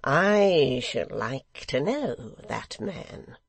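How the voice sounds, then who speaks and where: Man acting a slow, falsely mild scheming secretary. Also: medium pitch, sing-song, gravelly, no room sound, heavily acted. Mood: approving. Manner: pastor-like, mild, insinuating, drawn-out.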